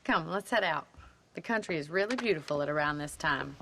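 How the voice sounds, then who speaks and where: A spirited young frontier woman with an American accent, speaks with a very animated, swooping delivery. She is forthright and playfully teasing, in the manner of a western video game cutscene, with outdoor ambience behind her. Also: sits low, brisk, slight background noise. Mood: brisk, wry.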